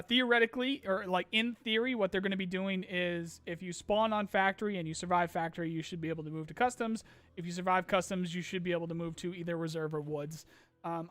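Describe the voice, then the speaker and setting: A man hosting a casual live-stream Q&A, rambling with many ums and restarts while his voice grows hoarse. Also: high voice, a bit bright, dry recording. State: losing his voice, clearing throat. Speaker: streamer host answering chat questions.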